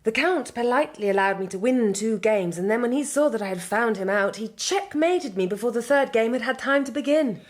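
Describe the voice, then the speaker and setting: An actress playing a resolute young Victorian gentlewoman in a period audio drama, speaking in a measured, articulate and wry way. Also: fairly high pitch, animated.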